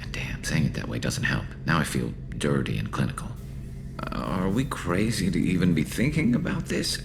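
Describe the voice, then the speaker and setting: A gravelly, very deep, awkward alien soldier. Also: male voice, animated, over music, exaggerated voice. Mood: awkward, nervous. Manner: halting, self-deprecating, dry humour. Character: awkward, loyal male alien soldier, nervous and wry. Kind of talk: video game dialogue acting.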